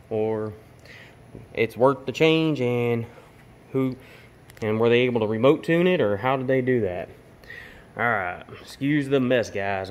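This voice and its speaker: A man with a drawl, chatting loosely as he walks a handheld camera through his home garage. His pitch sits mid-range and his delivery is rambling and informal, full of ums and restarts. A faint hum of room noise runs underneath. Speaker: garage vlogger. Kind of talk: casual walkaround tour.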